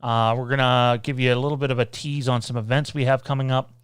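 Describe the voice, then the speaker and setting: A man hosts a loose livestream Q&A into a desk mic. His voice is smooth and clear, sitting at an ordinary middle pitch, and the sound is close and dry with no room around it. He rambles along, reads out viewer comments and fills his pauses with "uh.". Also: little pitch movement, dry recording. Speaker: podcast host. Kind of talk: casual livestream Q&A.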